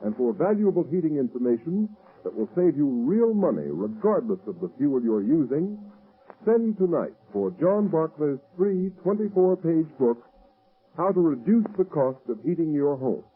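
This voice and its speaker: A man's voice: a little high, sing-song, moderate pace, husky. A man announcing in dramatic, emphatic 1930s radio style, as for a show and its sponsor. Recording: dull, muffled old radio recording.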